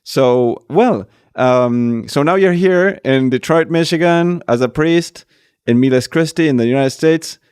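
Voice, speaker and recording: A man's voice: on the high side, unhurried, a little mellow, clean tone, no room sound, studio quality. A man hosting a conversational interview, often checking in with short tag questions. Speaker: podcast host.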